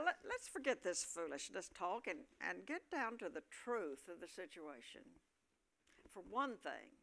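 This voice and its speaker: A woman's voice: medium pitch, a bit bright. A woman reading scripted narration for a staged play.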